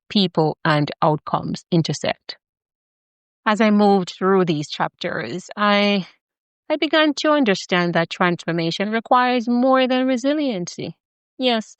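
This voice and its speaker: A middle-aged woman from Jamaica with a Jamaican accent, hosting a podcast introduction in a measured, deliberate way, with rhythmic lists of words. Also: on the low side, clean tone, no room sound. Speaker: podcast host.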